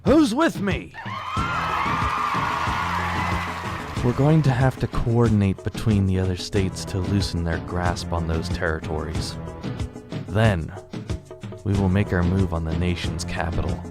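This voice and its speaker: A man's voice: low voice, animated, moderate pace. A man playing a determined suburban father turned rebel leader, narrating an amateur radio drama in earnest, formal phrasing. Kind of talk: scripted first-person radio drama narration. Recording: music under the voice.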